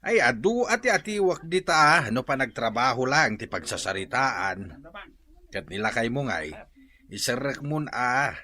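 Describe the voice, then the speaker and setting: A man's voice: medium pitch, sing-song, measured pace, pushed and bright, exaggerated voice. A man acting a resigned working father in a radio drama, wry and chuckling.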